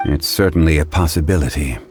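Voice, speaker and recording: Casting: calm scholarly gentleman for a game, very low voice, formal and measured, music behind. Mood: composed. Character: calm, learned, dignified male consultant of ancient wisdom. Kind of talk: English game voice acting.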